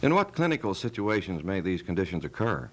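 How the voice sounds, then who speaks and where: A man with a deep, low-pitched voice serves as the interviewer. He puts scripted medical questions in a formal way, with precise and careful wording. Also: big pitch swings, a little fast.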